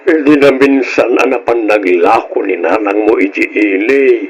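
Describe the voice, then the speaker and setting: A man voicing an elderly, suspicious grandfather with a husky voice and an Ilocano accent in a radio drama. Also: lowish voice, a little slow, lightly acted, poor audio. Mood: reporting, suspicious. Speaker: drama voice actor.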